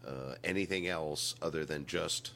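A man's voice: extremely low pitch, normal speed, creaky, bright, forceful tone. A middle-aged man hosting a podcast in casual comedic chat, with wry mock-announcer asides, on a noisy recording. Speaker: podcast host.

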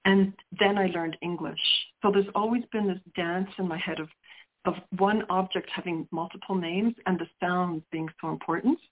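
A woman's voice: on the low side, steady tone, normal speed, a little hiss or hum. Reflective middle-aged woman on a phone line. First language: Sicilian. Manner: reflective, searching for words with restarts. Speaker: poet guest. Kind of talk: interview conversation.

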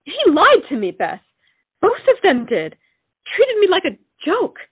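A proud young heroine of a period comedy acted by a woman, indignant and melodramatic. She speaks high with wide swings of pitch, heard through a thin, lo-fi stage recording. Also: wildly swooping pitch, measured pace, exaggerated voice.

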